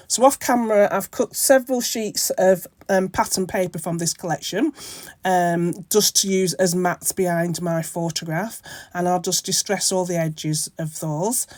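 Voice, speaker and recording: A woman narrates her crafting project in a loose, rambling way, full of ums and restarts. Her voice is croaky from a cold and sits low for a woman. The sound is dry and close, with no room around it. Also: a little mellow, no room sound. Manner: rambling, many ums and self-corrections. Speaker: craft channel host. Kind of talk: casual crafting tutorial narration.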